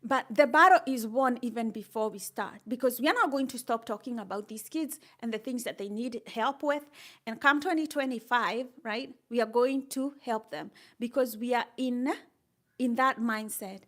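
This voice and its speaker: A woman's voice: fairly high pitch, moderate pace, a bit bright. An older woman with a Kenyan accent, chatting casually and encouragingly with viewers on a live stream, laughing often. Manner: upbeat and encouraging, reads out chat comments, laughs often. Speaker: livestreamer.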